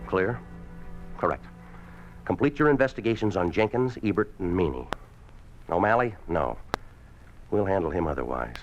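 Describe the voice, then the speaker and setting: Music sits behind an old radio drama recording. a menacing, domineering party boss with a low voice. He snaps out clipped orders and needles people with cold sarcasm. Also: highs cut off. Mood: curt command. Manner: clipped, commanding, sarcastic questioning. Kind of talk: 1950s radio drama acting.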